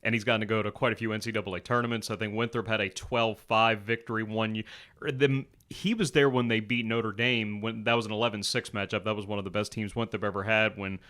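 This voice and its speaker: A man's voice: fairly low pitch, fairly even intonation, fast talker, fairly bright tone. A man co-hosting a sports show, chatting casually about teams and broadcasting.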